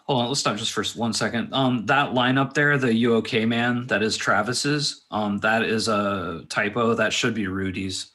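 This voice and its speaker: A man's voice: lowish voice, moderate pace, few pauses, pushed and bright. A man directing a table read, reading stage directions aloud and adding casual asides.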